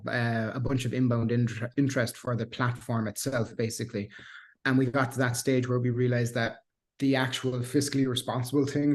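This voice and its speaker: A man in his thirties with a Cork Irish accent, a guest in a casual interview who rambles with restarts and fillers. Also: mid-range voice. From Ireland. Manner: rambling, many restarts and fillers, self-deprecating laughs. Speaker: guest, software engineer.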